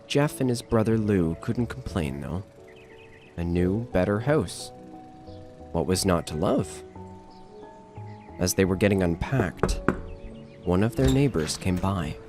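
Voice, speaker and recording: A man's voice: deep voice, lively intonation, takes it slowly, long pauses. A man narrating a scripted horror story as the narrator of an audio drama. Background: prominent music.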